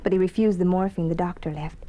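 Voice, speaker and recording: A woman's voice: lowish voice, steady tone, quick pace, highs cut off, lightly acted. A woman playing a worried, pleading farm daughter in a 1950s radio drama. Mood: anxious, pleading. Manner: anxious, pleading. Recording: noisy old radio recording.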